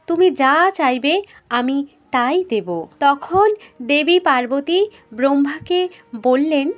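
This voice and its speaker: Female storyteller, high pitch, scripted narration of myth.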